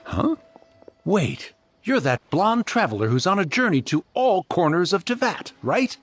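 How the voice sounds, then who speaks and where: A man's voice: sits high, sing-song, unhurried, soft background music, full character voice. A man voicing a chatty, eager mail courier in a video game, talking in an emphatic, theatrical way. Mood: eager, relieved. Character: chatty, eager male mail courier, good-naturedly exasperated. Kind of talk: English video game voice acting.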